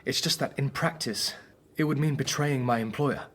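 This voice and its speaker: An actor playing a weary, principled young doctor in a radio drama, speaking in an earnest, wry way. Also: male voice, medium pitch, normal speed, fairly bright tone, lightly acted. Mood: hesitant.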